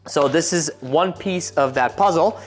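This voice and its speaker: A man's voice: fairly high pitch, unhurried. A man hosting a technical car build video, explaining parts in a casual way with jokey asides, in a workshop. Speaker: car tuning shop host.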